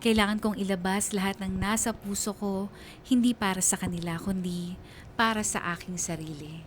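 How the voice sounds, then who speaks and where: A woman's voice: mid-range voice, steady tone, normal speed, a little hiss or hum, close to an everyday voice. A woman playing a hurt but resolute letter-sender, narrating her story in dramatic first-person radio style. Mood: determined. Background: background music.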